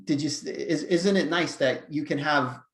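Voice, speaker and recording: A man's voice: on the high side, normal speed, a bit bright, roomy sound. A man co-hosting a casual webinar for dog trainers, reflective and explaining his points steadily.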